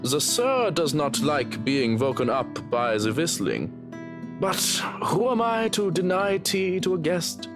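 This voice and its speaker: Snide, swooping, high-voiced butler. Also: male voice, big pitch swings, slow. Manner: sarcastic, haughty. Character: snide, dry male butler and personal assistant. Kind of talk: visual novel game voice acting. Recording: music playing behind the voice.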